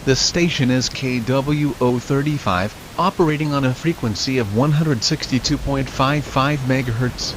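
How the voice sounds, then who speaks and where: A man's voice: mid-range voice, normal speed, long pauses, low-quality recording. A man reading scripted weather radio announcements in a formal, impersonal way. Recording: hissy weather radio broadcast. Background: hiss, static noise.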